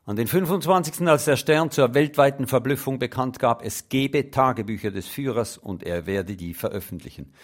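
A man, a radio correspondent telling a story from memory in a measured, reflective way. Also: fairly low pitch. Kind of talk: radio news feature narration.

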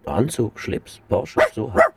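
Low-voiced, bumbling novice detective. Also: male voice, very animated, slow, gravelly, dark tone, no room sound, exaggerated voice. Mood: subdued, sheepish. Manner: hesitant, stammering, defensive. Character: meek, bumbling novice private detective, peaceable and put-upon. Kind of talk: naturalistic radio crime drama acting.

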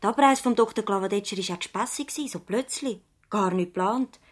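An actress playing an earnest, thoughtful young practice assistant and loyal niece in a radio play, with a Swiss German accent. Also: female voice, mid-range voice, quick pace, natural voice. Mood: worried, hushed. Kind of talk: radio play acting, conversational dialogue.

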